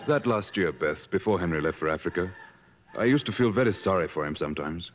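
A composed adventurer on an African expedition, in an old radio play. His voice sits fairly low, and he speaks in measured, grave phrases. The sound is a dull vintage broadcast. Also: expressive, slight background noise. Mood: guarded, reflective. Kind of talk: 1950s radio drama acting and narration.

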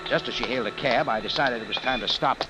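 A man's voice: medium pitch, quick pace, close to an everyday voice, poor audio. An actor playing a hard-boiled, dogged adventurer who narrates his own story in a 1940s radio drama. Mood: tense narration. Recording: old radio broadcast with music behind.

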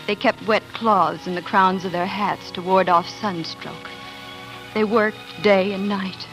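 Woman to play tender, earnest pioneer wife narrating 1940s radio drama; mid-pitched voice, music underneath. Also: steady tone, a little slow, a bit bright, lightly acted, low-quality recording. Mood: grave storytelling.

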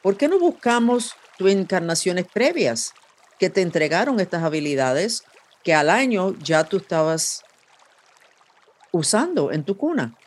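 A woman's voice: lowish voice, very animated, a little slow. Podcast host woman. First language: English. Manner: rambling, meandering with restarts and fillers. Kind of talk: casual podcast conversation.

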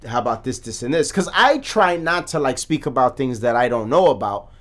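Profane, animated man on a podcast. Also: mid-range voice, brisk. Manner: animated, swearing, many restarts. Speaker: podcast co-host. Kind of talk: casual profane chat.

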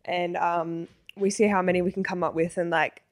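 A young woman co-hosting a podcast in relaxed casual chat, with a voice she calls surprisingly deep. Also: normal speed. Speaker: podcast co-host.

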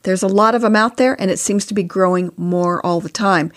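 A woman's voice: medium pitch, fairly quick. An older woman, past her mid-sixties, giving advice in an earnest, emphatic, conversational way as a podcast guest. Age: older, past sixty-four. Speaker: podcast guest, author and co-host spouse.

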